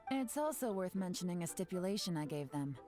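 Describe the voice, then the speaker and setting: A woman's voice: fairly low pitch, sing-song, fairly quick. An actress playing a composed, sly female operative in a video game, speaking in a cool, assured, businesslike way. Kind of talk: English video game voice acting. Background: faint game music.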